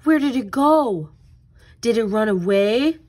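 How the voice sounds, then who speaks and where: A woman's voice: on the high side, expressive, unhurried, darkish tone. A woman voicing a curious, easygoing young male cat from a children's storybook, wondering and exclaiming. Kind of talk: storybook character voice.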